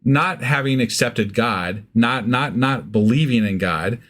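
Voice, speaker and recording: A man with a medium-pitched voice chats as a podcast co-host. He thinks out loud informally, with a wry, irreverent streak. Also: lively intonation, unhurried. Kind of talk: casual discussion.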